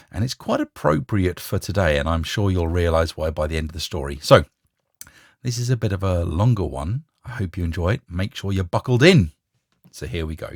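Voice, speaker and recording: A man reading a short story aloud as an expressive narrator. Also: low-pitched, dry recording, clean studio sound.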